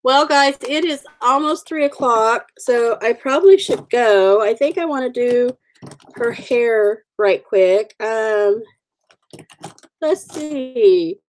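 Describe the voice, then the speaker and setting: A woman's voice: fairly high pitch, animated, takes it slowly, slight background noise, poor audio. A woman with the sniffles, chatting casually with viewers while coloring stamped cards on a livestream, greeting people by name. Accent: Oklahoma. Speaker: livestream host.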